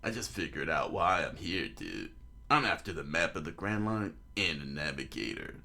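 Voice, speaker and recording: Man doing a low, laid-back pirate dude. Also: sing-song, normal speed, gravelly, bright, forceful tone, heavily acted. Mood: nonchalant. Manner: slangy, nonchalant.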